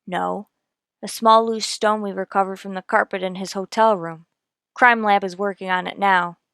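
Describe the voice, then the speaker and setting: A woman's voice: medium pitch, fairly even intonation, moderate pace, gravelly, a bit bright, clean tone, no room sound, studio quality. A woman playing a no-nonsense, methodical police detective in a radio drama, clipped and matter-of-fact. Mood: businesslike. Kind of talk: old-time radio police drama, scripted narration and dialogue.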